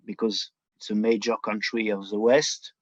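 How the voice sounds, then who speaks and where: A native French-speaking man with a French accent, answering as an interview guest and pausing to find his words. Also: lowish voice, level tone, no echo. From France. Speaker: book editor, guest. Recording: dull, lo-fi sound.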